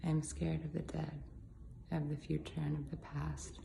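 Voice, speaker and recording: A woman's voice: deep voice, little pitch movement, measured pace, mellow. A woman reading spoken-word poetry with a rhythmic, rhyming cadence. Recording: clear background noise.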